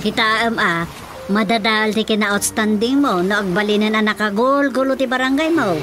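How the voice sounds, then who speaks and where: A woman playing a worried, halting village mother in an Ilocano-accented radio drama. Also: mid-range voice, fairly bright tone, soft background music, close to an everyday voice. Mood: concerned.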